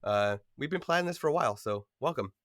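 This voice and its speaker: A man's voice: mid-range voice, animated, fast talker, a bit bright, dry recording, clean studio sound. Congested man hosting a podcast. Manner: chatty, filler-heavy, laughs often. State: nasal from a cold. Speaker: podcast host. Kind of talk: casual podcast chat.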